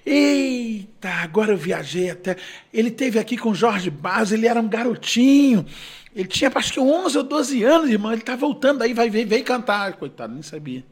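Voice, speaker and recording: A man who is a gospel singer telling a personal testimony in an animated way, acting out the dialogue and moving between loud and hushed. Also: sits high, a little fast, slightly rough.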